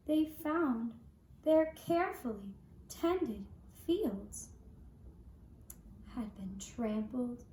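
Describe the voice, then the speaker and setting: A woman telling a scripted fairy tale for a puppet show in a gentle, deliberate, singsong manner. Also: fairly high pitch. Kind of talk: scripted fairy-tale narration with lullaby singing. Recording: echoey room with faint noise.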